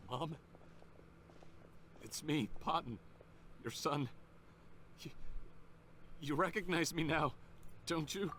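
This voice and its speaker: A man's voice: on the high side, slow, long pauses, fairly bright tone, a little hiss or hum. A man voicing a bitter, cornered young researcher turned criminal in a video game, with a theatrical, emotionally intense delivery. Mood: pleading, desperate. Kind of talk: scripted video game voice acting.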